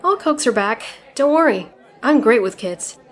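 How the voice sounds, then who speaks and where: A woman's voice: fairly high pitch, lively intonation, normal speed. Woman as a dry, tough young turtle ninja. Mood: casual. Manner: dry, casual. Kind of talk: comic dub voice acting.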